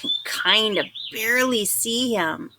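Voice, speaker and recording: A woman reading a children's picture book aloud, expressive and dramatic, putting questions to her listeners. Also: on the high side, a little slow, noisy background. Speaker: storybook reader.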